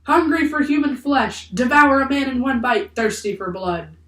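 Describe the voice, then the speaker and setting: A woman plays a rough, hostile sailor in a children's storybook. He shouts loudly with a fearful, bloodthirsty edge. The sound is roomy and a little away from the mic. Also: medium pitch, steady tone, unhurried, lightly acted. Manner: loud, shouting. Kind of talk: children's audiobook character voice. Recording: roomy echo, distant from the mic.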